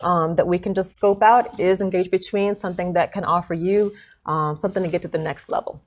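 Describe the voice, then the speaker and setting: A woman's voice: on the low side, fairly even intonation, a little fast. A woman in her thirties from Chicago, a guest giving interview answers in a reflective way and often ending with "right?". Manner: reflective, frequent tag "right?". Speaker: guest, consultant.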